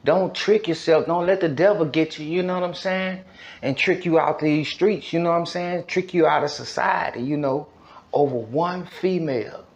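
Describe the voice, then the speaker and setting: A man's voice: on the high side, muffled recording. An American man telling a personal story casually, in an emphatic, repetitive way full of street slang and check-in phrases. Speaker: podcast storyteller.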